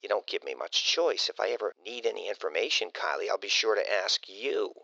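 A man's voice: lowish voice, expressive, fairly quick, vocal fry, pushed and bright, no echo, muffled recording, full character voice. A man voicing a sarcastic, controlling middle-aged mother with a dry, pointed manner in an audiobook story. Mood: cutting.

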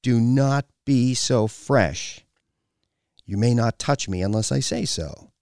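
A man's voice: lowish voice, lively intonation, moderate pace, gravelly, darkish tone, no room sound, clean studio sound. A man voicing a stern, domineering foreign woman in an audiobook, with a commanding, clipped and scornful delivery. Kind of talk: audiobook character dialogue.

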